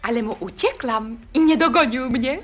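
A woman's voice: fairly high pitch, normal speed, fairly bright tone, muffled recording. An actress playing a playful, teasing young woman from a bygone era in a 1940s radio play, speaking in a coquettish manner.

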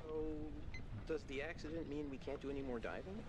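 An actor playing a mild, cautious, gently bookish young man in a video game. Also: mid-range voice, brisk, lightly acted. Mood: hesitant. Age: young adult. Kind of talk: naturalistic video game acting. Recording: loud background noise on a boat.

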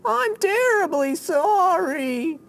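A kindly old storybook mole speaks in a very high, fluttery voice, as a woman puts him on. He talks slowly and politely, set back from the mic. Soft music plays behind him. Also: expressive, vocal fry, far from the mic, full character voice, rough recording. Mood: gentle.

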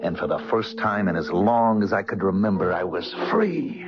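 An actor playing a desperate, cold-blooded killer on the run in a 1970s radio drama, speaking in a brooding, dramatic way. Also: male voice, fairly low pitch, animated, normal speed, close to an everyday voice. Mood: brooding narration. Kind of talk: 1970s radio drama acting, narrating and in scene. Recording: dull restored radio broadcast with music.

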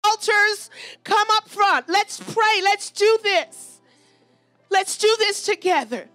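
A woman's voice: very high-pitched, takes it slowly, fairly bright tone, soft background music. A woman preacher leading fervent charismatic prayer, urgent and pleading, exhorting with repeated phrases.

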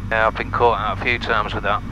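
A man's voice: on the low side, little pitch movement, fast. A man in a small plane chatting casually as a fellow pilot. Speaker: fellow pilot in the passenger seat. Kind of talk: casual chat. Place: small aircraft cockpit in flight. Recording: clear aircraft engine noise over intercom.

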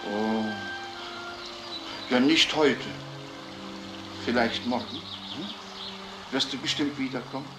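A man acts out a secretive, coaxing man who poses as a magician in a crime drama. He speaks slowly in a roomy, echoing film recording, with prominent music behind him. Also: medium pitch, close to an everyday voice. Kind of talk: film drama dialogue in a crime audio play. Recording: echoey film sound with music.

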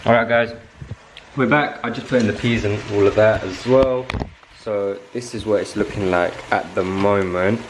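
A man's voice: on the low side, fairly even intonation, normal speed. A young man, joking around and chatting casually while helping cook at home. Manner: joking, laid-back. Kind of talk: casual banter. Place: home kitchen, indoors. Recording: noisy kitchen, sizzling pan. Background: frying and stirring sounds.

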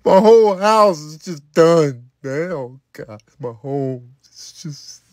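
A man performing a comedic skit monologue in a lamenting, drawn-out manner. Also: on the high side, lively intonation, no echo.

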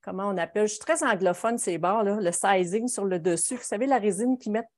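A woman's voice: medium pitch, fast, darkish tone. A middle-aged woman with a Quebec French accent gives an informal live painting lesson. She explains her method in a chatty, conversational way, speaking from her studio over a video call. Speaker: watercolour painting instructor. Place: home studio, indoors.

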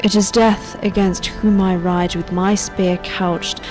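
Actress as weary, defiant old woman, radio-drama monologue; slow, literary, declamatory delivery over clear music. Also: medium pitch, little pitch movement, close to an everyday voice. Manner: poetic, declamatory, measured. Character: elderly, weary, defiant woman narrator facing death.

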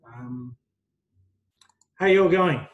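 A middle-aged man teaching a university workshop over a video call on a headset, relaxed and hesitant with many ums. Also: fairly high pitch, slow, muffled recording. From migrant to Australia. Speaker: university tutor.

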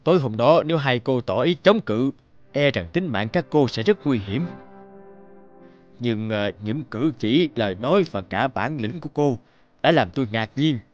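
Man voicing a rough street robber, in cartoon. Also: medium pitch, lively intonation, normal speed, no echo, lo-fi sound, faint music behind, close to an everyday voice. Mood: reflective, grateful. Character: rough male robber, menacing, later remorseful. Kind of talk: animated morality tale voice acting.

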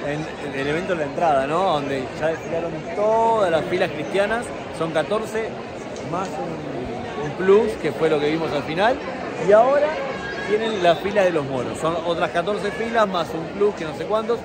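A man's voice: fairly high pitch, animated, normal speed. A man in his thirties with an Argentine accent, chatting casually to camera as a vlogger. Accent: Argentine Spanish. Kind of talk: casual vlog chat to camera. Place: crowded street outdoors at a parade. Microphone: phone at arm's length. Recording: busy street, loud crowd noise.

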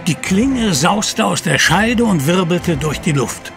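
A courtly storyteller narrating an audio drama over clear music. His voice sits somewhat high, and he speaks formally and theatrically, with great courtesy. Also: animated, slow pace, heavily acted. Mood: suspenseful.

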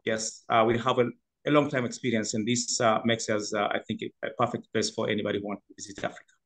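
Safari guide, middle-aged man, halting with fillers, video call. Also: fairly low pitch, little pitch movement, fairly bright tone. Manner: hesitant, many fillers and restarts. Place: room at home.

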